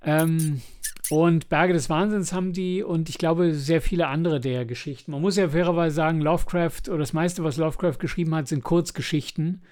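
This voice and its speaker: A man's voice: a little high, fairly even intonation, moderate pace, a little mellow. A man co-hosting a podcast in casual review chat, chatty and enthusiastic when recommending things. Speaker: podcast co-host.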